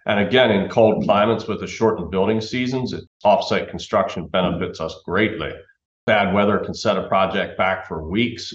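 A middle-aged man explaining things as an interview guest, over a dull, roomy remote connection. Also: deep voice. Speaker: interview guest, timber framing company manager.

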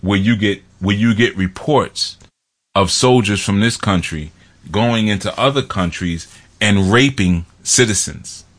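A man's voice: lowish voice, measured pace, a bit bright. A man hosting casual film commentary, rambling and digressive with lots of fillers.

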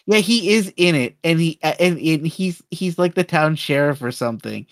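Higher-pitched man in casual film chat. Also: moderate pace, no echo, clean studio sound. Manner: animated retelling with acted-out quotes. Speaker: podcast co-host.

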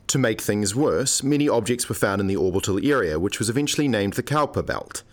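A man's voice: fairly low pitch, lively intonation, a little fast, lightly acted. A man playing a sardonic, mock-pompous academic colleague in a scripted radio play, given to lecturing. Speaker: supporting actor in a radio play.

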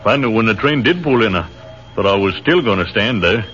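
An actor playing a friendly, modest American infantry captain with an American accent, speaking in an easygoing, folksy way, in a 1940s radio drama. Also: male voice, on the low side, animated, fairly quick, a bit bright. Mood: polite. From Chicago, USA. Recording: dull old radio broadcast recording with faint hiss.

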